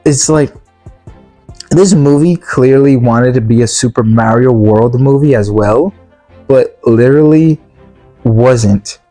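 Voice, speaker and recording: Mellow exasperated reviewer ranting over music. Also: mid-range voice, poor audio. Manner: exasperated, halting, repetitive. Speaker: video reviewer. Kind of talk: casual opinionated movie rant.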